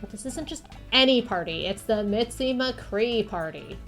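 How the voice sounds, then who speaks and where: A woman's voice: on the high side, animated, moderate pace, a bit bright, faint music behind. A woman voicing a vain young TV actress in a video game, scornful, whiny and dramatic. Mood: petulant. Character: vain, snooty young TV actress, spiteful and self-important.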